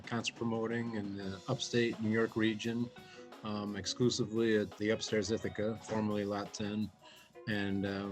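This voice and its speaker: Man, concert promoter, low voice, casual hesitant talk, faint background music. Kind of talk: documentary interview.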